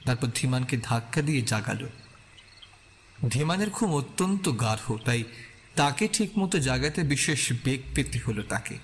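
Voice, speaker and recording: A man narrating a scripted horror story in a suspenseful manner, with deliberate dramatic pauses. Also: mid-range voice, a little hiss or hum.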